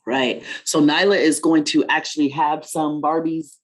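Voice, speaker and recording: A woman with a slightly low-pitched voice presents to children as a story time host. She speaks conversationally, like an encouraging teacher who checks in with questions and echoes back the answers she gets. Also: steady tone, measured pace.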